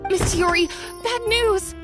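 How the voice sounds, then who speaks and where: A woman's voice: extremely high pitch, takes it slowly, music in the background. A woman playing an anxious, dutiful young shop assistant in an anime video game, timid and deferential.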